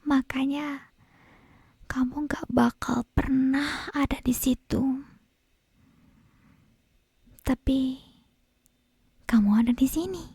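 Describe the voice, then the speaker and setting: A woman playing an obsessive, possessive yandere young woman in an ASMR roleplay, whispering slowly and intimately with a breathy, husky voice close to the microphone. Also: high voice, dry recording, heavily acted. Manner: slow, intimate, drawn-out phrasing with soft giggles. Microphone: close binaural ASMR microphone.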